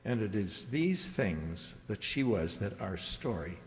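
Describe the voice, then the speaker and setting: A man playing an earnest storyteller narrating a wistful radio drama in measured, emphatic sentences. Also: on the low side, very animated, slight background noise, heavily acted. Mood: solemn, measured. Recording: reverberant hall stage.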